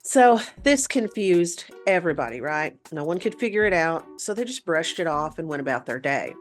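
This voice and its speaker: A woman's voice: low-pitched, sing-song, moderate pace, faint music behind. A woman co-hosting a paranormal show, telling a story in a conversational way.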